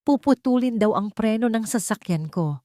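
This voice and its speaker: A woman narrator plays a frightened wife who is dying and leaving a final message in an audio drama. She sounds tired and weak, pleading with quiet fear. The recording is dry. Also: a little high, lively intonation, moderate pace, no room sound, voice pushed up, lightly acted. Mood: weak, fearful.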